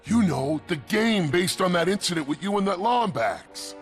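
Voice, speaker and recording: Scratchy high alien convict, excitable braggy fanboy, swoops all over, game cutscene w music. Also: male voice, normal speed. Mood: starstruck, excited. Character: boastful male alien convict, excitable and starstruck.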